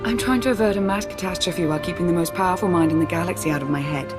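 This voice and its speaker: A woman's voice: on the low side, very fast, close to an everyday voice. An actress playing a cool, formal female intelligence minister in a video game cutscene. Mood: strained. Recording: loud music behind the voice.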